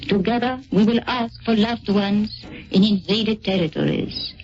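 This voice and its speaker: A woman's voice: medium pitch, measured pace, darkish tone. A woman with a French accent giving a solemn, earnest scripted radio address in the 1940s. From France. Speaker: Free French army volunteer, guest speaker. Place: radio studio. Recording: muffled, noisy 1940s radio recording.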